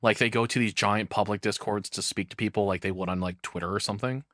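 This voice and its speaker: A man's voice: fairly low pitch, steady tone, fairly bright tone, no echo. Casual, rambling male streamer, dry sound. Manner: halting, rambling, sweary. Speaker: reaction streamer. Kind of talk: casual reaction commentary.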